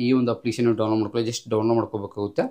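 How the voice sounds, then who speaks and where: A man's voice: on the low side, flat delivery, rapid-fire, mellow. A man hosting an informal step-by-step tech tutorial, explaining emphatically and repetitively with English tech words mixed in. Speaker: YouTube tech tutorial host.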